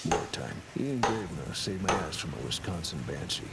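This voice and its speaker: A man playing a gruff, loyal war veteran quartermaster in a western game, gravelly, plain-spoken. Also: deep voice, sing-song, moderate pace, full character voice. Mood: sombre, loyal. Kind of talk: cinematic western game acting. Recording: loud sizzling, clicking noise behind.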